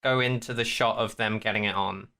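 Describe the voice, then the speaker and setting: A man's voice: lowish voice, fairly even intonation, brisk, bright, forceful tone. A man co-hosting a podcast, giving his reactions in casual chat and thinking aloud as he goes. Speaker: podcast co-host.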